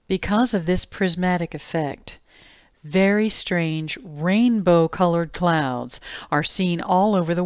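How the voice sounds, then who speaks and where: A woman reads an explanatory voice-over very slowly and deliberately. Her voice is smooth and a little low, with a grave delivery. The recording is dry and somewhat muffled. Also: no echo. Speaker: narrator. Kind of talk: scripted explanatory video narration. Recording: dull lo-fi close dry voice-over.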